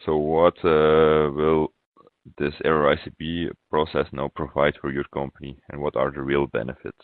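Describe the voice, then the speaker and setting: Phone-like audio, male software trainer, extremely low voice, halting step-by-step webinar walkthrough. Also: little pitch movement, no echo. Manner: halting, pausing mid-phrase. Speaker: software consultant presenting a webinar demo.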